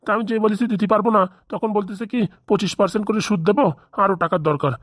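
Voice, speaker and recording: A man's voice: high voice, steady tone, quick pace, dry recording, clean studio sound. A man voicing a defensive, whining old father who lends money, in a comedy cartoon. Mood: puzzled. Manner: whining, defensive, blame-shifting.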